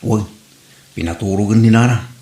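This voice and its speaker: An actor playing a jealous young husband in an audio drama, questioning and grumbling. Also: male voice, fairly low pitch, normal speed, warm tone, close to an everyday voice. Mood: suspicious. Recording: echoey room recording.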